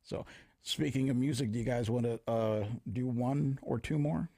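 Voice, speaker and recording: A man hosting a radio show, chatting casually in the studio and often pausing to search for words. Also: medium pitch, creaky, dark tone. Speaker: radio host. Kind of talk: casual on-air chat.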